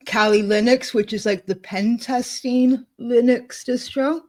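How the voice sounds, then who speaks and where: A woman co-hosting a casual chat, thinking aloud as she clicks through software at her desk, with frequent laughs. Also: on the high side, fairly even intonation, measured pace. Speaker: co-host demonstrating software. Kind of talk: casual screen-share chat.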